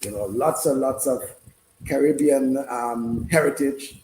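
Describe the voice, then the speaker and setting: Man, sports radio host, halting and repetitive, mid-pitched, mellow, roomy recording with faint noise. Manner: halting, repeats himself. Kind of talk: casual live discussion. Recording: roomy, noisy room sound.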